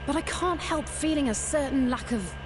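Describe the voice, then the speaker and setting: A woman's voice: high voice, normal speed, noisy background, close to an everyday voice. A woman playing an earnest, restless, proud young fighter in a video game, speaking formally and frankly. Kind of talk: scripted video game voice acting. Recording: music behind the voice.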